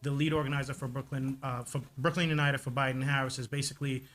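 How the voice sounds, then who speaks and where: A man's voice: medium pitch, flat delivery, fast talker, fairly bright tone. A man in his thirties, from Trinidad, speaking as a guest organizer in a casual radio interview, with many "like" and "um" fillers.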